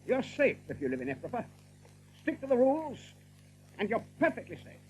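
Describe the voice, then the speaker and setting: An actor playing a rabbit guard captain in an audiobook drama, dutiful and deferential, with brisk, clipped speech. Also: male voice, very high-pitched, sing-song, darkish tone, slight background noise, rough recording. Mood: confiding.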